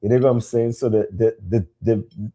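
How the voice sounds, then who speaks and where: A man's voice: on the low side, steady tone, moderate pace, warm tone. A man co-hosting a casual podcast, talking emphatically with street slang and bursts of energy. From immigrant to the US. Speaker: podcast co-host.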